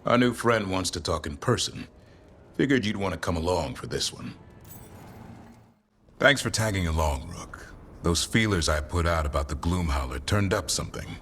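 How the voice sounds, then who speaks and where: An actor playing a pragmatic, steady warrior in a fantasy game, speaking directly and matter-of-factly. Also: male voice, low-pitched, moderate pace, slight background noise. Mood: businesslike. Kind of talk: game dialogue acting.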